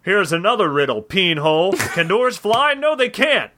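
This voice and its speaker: A cocky, muscle-bound rogue hero of a comic fantasy tale, put on by a man telling it. He is brash, blunt and boastful, with a forceful voice that leaps around in pitch and readily rises to a shout. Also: sing-song, normal speed, slight background noise, full character voice. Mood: brash, impatient.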